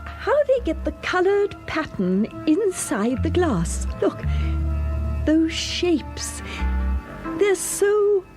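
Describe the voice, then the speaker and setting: A woman playing a gentle, fretful British wife in a radio drama, genteel and apologetic in manner. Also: very high voice, sing-song, very slow, over music, heavily acted. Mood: wistful, uneasy. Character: gentle, fretful middle-class wife with hidden unease.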